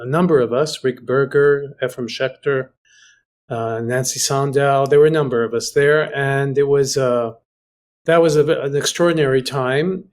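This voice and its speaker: A man from Greece talks as an interview guest in a mid-pitched voice. His delivery is conversational and reflective, halting often as he searches for the right word. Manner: hesitant, halting, pausing to find words. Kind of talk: conversational recollection. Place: remote internet video call.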